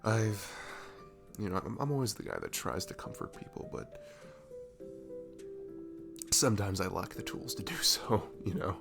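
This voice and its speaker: Man acting a sweet, deep-voiced barista, gravelly. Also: lively intonation, moderate pace, music in the background. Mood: sympathetic. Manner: gentle, rambling, hesitant, breathy chuckles and sighs. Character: cute, sweet, comforting young male barista chatting. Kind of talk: ASMR roleplay, soft casual chat.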